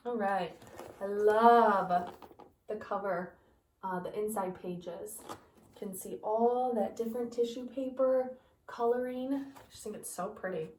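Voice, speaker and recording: A woman who teaches kindergarten reads a picture book aloud, pausing to explain things gently to young children. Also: medium pitch, fairly even intonation, far from the mic. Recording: echoey room, voice off-mic.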